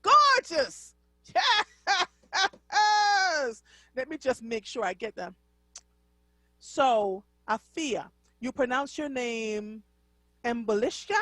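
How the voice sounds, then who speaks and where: A woman's voice: fairly high pitch, big pitch swings, slow pace, pauses often, bright, forceful tone, dry recording. A middle-aged woman from Jamaica with a Jamaican accent, hosting a live radio talk show in an effusive, exclamatory way and laughing often.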